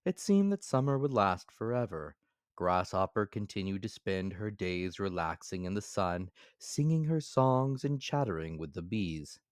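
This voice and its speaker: Fable narrator, animated pitch, dry close sound, dramatic storybook reading. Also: unhurried, dry recording.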